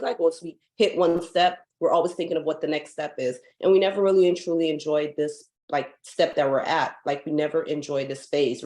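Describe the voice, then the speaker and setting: A young woman from Guyana, a foundation founder, talking casually as a guest in a video-call interview, full of "like" fillers and lively while telling stories. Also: low-pitched. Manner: chatty, many "like" fillers, animated when telling stories. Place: home in Hawaii.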